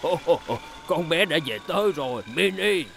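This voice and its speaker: A man voicing a kindly, fretful old grandfather car in a children's cartoon dub, fussing and expressive. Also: fairly high pitch, normal speed, gravelly. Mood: pleased. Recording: background music under the voice.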